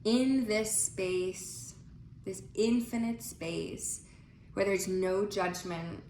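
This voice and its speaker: Slow-paced woman guiding meditation in echoey room. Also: medium pitch, darkish tone. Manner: soothing, unhurried, with long pauses between phrases. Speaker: guided meditation leader. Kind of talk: guided visualization, breathing instruction. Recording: echoey room with faint noise.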